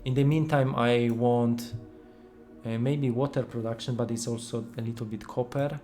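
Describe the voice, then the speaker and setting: A man with a Polish accent, a native Polish speaker, casually commenting on a game he is playing while thinking aloud with many fillers and pauses. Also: mid-range voice, steady tone, warm tone, faint music behind. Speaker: game developer streaming gameplay.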